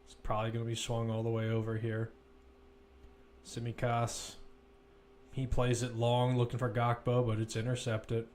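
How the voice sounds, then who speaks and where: A man's voice: medium pitch, completely flat delivery, moderate pace, darkish tone. Man calling soccer, noisy recording. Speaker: football commentator. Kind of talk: live play-by-play soccer commentary.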